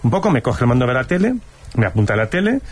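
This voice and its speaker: A man's voice: medium pitch, big pitch swings, very fast, slightly rough. A man with a Spanish accent from Spain, chatting casually and comically as a panelist on a quiz show. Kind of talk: casual comic chat and anecdote. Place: radio studio.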